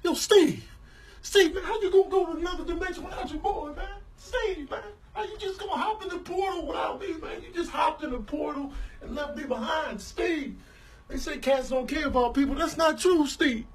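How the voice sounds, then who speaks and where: A man's voice: very high-pitched, moderate pace, distant mic. A man voicing a distraught cat abandoned by its friend, dramatic and pleading, in a comedy animal voiceover. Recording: clearly noisy recording.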